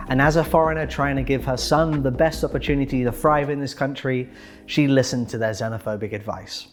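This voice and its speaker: British man, young adult, scripted vlog narration, dry sarcastic wit, music behind. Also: mid-range voice. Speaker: YouTuber. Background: background music.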